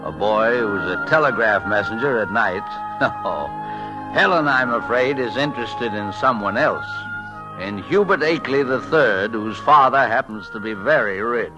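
A man playing a gentle, folksy narrator in a 1940s radio drama, wry and conversational with unhurried asides. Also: fairly low pitch, expressive, lo-fi sound, natural voice. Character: gentle, folksy male storyteller watching over a boy. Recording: music under old radio narration.

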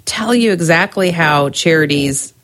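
A woman's voice: deep voice, expressive, a little slow, fairly bright tone. A woman hosting her own podcast, giving chatty business advice with frequent ums while getting over a cold. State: recovering from a cold, scratchy throat. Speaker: podcast host. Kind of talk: casual solo podcast advice.

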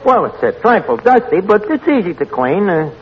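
An actor playing a brash, lovestruck New tavern manager who mangles fancy words, in 1940s radio comedy style. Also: male voice, high voice, big pitch swings, moderate pace, slightly rough, heavily acted. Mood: brisk, familiar. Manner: pompous malapropisms, mock-poetic wooing. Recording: noisy, muffled old radio broadcast recording.

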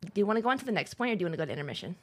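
A woman's voice: on the low side, very animated, very fast, no echo. A woman co-hosting a casual streamer podcast, bantering in slang and swearing with playful indignation.

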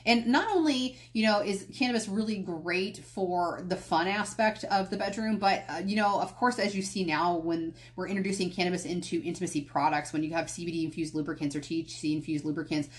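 A woman with a fairly low voice hosts a solo podcast, speaking in a candid, loose way. She rambles and goes off on small tangents. She often catches herself and restarts a phrase. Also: a little fast, few pauses. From United States. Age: adult, past college. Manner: candid, rambling, self-correcting. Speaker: podcast host. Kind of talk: casual solo podcast talk.

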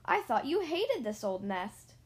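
A woman's voice: a little high, sing-song, measured pace. A woman voicing a small, fretful male bird who cries out with emotion. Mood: astonished.

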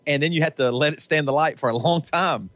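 A man's voice: on the high side, fast talker, fairly bright tone, dry recording. Earnest man co-hosting a podcast. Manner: earnest, preachy, repeats words. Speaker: podcast co-host. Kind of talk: casual chat.

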